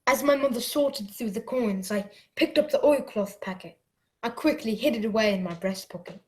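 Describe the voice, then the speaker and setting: A woman's voice: fairly high pitch, normal speed, lightly acted. A woman playing an earnest, anxious young boy who narrates and acts in a radio play. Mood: tense narrating. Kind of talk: radio play storytelling and acting.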